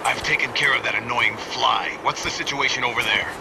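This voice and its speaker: Guy voicing creaky game villain boss, guarded, gives orders, noise behind. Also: mid-range voice, brisk, close to an everyday voice. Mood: guarded, commanding. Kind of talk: video game voice acting.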